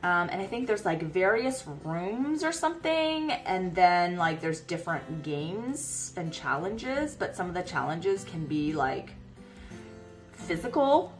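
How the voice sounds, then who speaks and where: A woman in her thirties with a somewhat low voice, sniffly from a cold. She rambles in chatty, filler-heavy talk while planning at a desk, her voice fading now and then as she turns from the camera mic. Faint music plays underneath. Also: big pitch swings, slow pace. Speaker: planner vlogger. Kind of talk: casual chat while planning. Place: home desk by a bright window.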